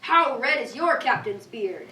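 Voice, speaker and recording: A woman's voice: fairly high pitch, animated, a little slow, pushed and bright. A woman reading scripted stage directions as narrator at a live staged reading. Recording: distant echoey room, faint noise.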